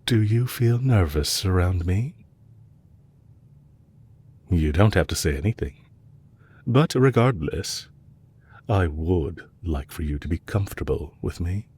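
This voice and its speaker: A man playing a composed, courteous villain leader in an audio roleplay, speaking in a measured, formal way to a captive he is fond of. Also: low-pitched, animated, a little mellow, slightly rough, full character voice. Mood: gentle, coaxing.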